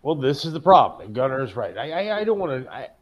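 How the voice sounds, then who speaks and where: Complaining American man, medium pitch. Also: very animated, quick pace, dull audio. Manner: profane, complaining. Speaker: talk show co-host. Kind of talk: casual chat.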